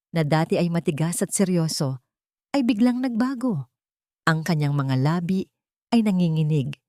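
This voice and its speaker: Low-pitched woman narrating dramatic fiction. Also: darkish tone, dry recording, studio quality. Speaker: audiobook narrator. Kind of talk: dramatic scripted story narration.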